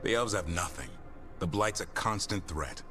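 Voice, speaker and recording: An actor playing a grave, blunt fantasy game companion, speaking in sombre statements. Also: male voice, low-pitched, moderate pace, sharp tone, soft background music.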